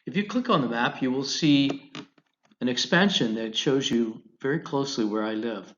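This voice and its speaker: An American man teaching an online class, giving a deliberate, instructional lecture over slides, phrase by phrase. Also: medium pitch, fairly bright tone, highs cut off. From United States, Colorado.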